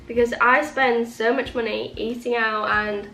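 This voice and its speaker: A woman's voice: on the high side, steady tone, a little slow, vocal fry, bright, forceful tone. A young woman chatting casually to camera as a vlogger, sniffly with a cold and rambling with lots of "like". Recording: echoey room with faint music. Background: faint music.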